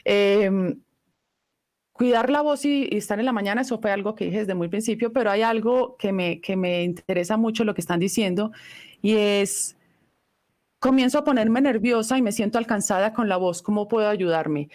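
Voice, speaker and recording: A middle-aged woman whose first language is Italian, giving a live online voice lesson in an unhurried, reflective teaching manner. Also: mid-range voice, a little mellow.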